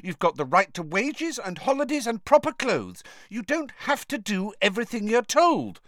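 A storybook narrator voicing an earnest, bossy teenage schoolgirl who lectures briskly. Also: medium pitch, sing-song, fairly bright tone, dry recording, pitched above the performer's own voice. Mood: exasperated.

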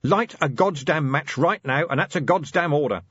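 A man voicing a blunt, harried male watch commander who is quick to bellow. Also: fairly high pitch, animated, a bit bright, breathy edge, no echo, lo-fi sound, voice pushed up. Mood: roaring.

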